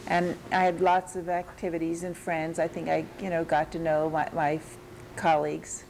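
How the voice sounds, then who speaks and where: An older American woman with a New York accent, recalling her teaching years in an oral history interview, pausing and restarting as she searches her memory. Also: low voice, little pitch movement, creaky, darkish tone, slight background noise, poor audio. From United States.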